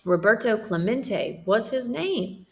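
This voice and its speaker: A woman teacher reading a storybook aloud to pupils, pausing to explain things simply for children. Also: a little high, animated. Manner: explains simply, checking in with listeners.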